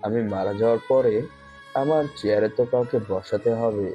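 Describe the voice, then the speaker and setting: A man voicing a dying elderly prime minister, speaking gravely and with resignation. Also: fairly low pitch, fairly quick, faint music behind, natural voice. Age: older. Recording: dull muffled lo-fi audio.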